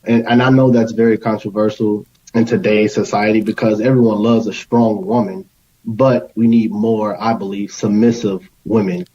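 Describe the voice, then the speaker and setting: Male podcast guest, fairly low voice, conversational, slightly dull lo-fi recording. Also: flat delivery, moderate pace, dark tone, a little hiss or hum. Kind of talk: conversational interview answers.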